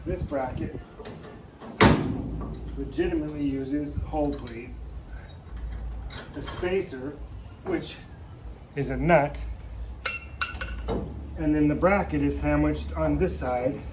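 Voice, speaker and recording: A man's voice: fairly high pitch, slow pace, pauses often. A man casually explaining a car brake swap in his workshop, rambling and joking, calling his viewers kids. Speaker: garage mechanic demonstrating a brake swap. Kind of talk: casual hands-on how-to talk. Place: workshop garage. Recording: noisy shop ambience.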